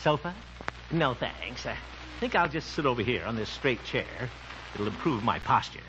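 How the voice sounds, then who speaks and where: An actor playing a jovial, self-satisfied small-town bachelor in an old-time radio comedy, with a folksy, chuckling, self-assured manner. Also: male voice, mid-range voice, lively intonation, moderate pace, lightly acted. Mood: coy, smug. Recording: muffled, noisy old-time radio recording.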